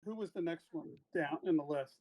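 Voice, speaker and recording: A man's voice: on the high side, brisk, a little mellow, a little hiss or hum, low-quality recording. A man chatting casually as a player in a tabletop roleplaying game.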